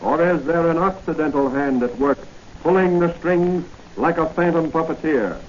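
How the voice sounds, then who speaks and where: High-voiced dramatic radio serial announcer, man. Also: normal speed. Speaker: announcer and narrator. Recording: dull, noisy 1940 radio recording.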